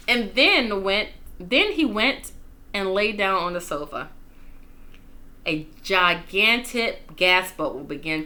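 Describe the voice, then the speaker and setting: Casting: female storytime reader, expressive and very lively in pitch, bright tone, playful and casual with young listeners. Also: a little hiss or hum. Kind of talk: animated children's story read-aloud with casual asides.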